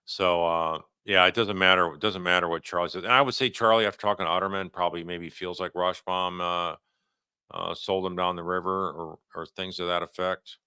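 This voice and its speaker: A man hosting a livestream, giving unscripted legal commentary and answering viewer questions in a halting, conversational way, often rephrasing himself. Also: low voice, steady tone, bright, forceful tone, clean tone, dry recording, clean studio sound.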